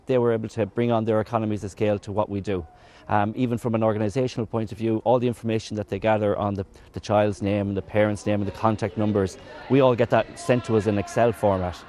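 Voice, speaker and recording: Male coach, rapid delivery, outdoor interview with loud background noise. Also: lowish voice. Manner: brisk, with restarts and fillers. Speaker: soccer coach. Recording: noisy outdoor location sound.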